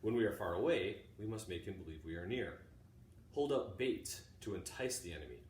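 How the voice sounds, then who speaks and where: A middle-aged man, a teacher reading aloud in a deliberate way, pausing often between short phrases. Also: lowish voice, expressive, a little mellow. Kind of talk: informal introduction and measured read-aloud of a classic book. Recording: roomy, distant mic, faint background noise.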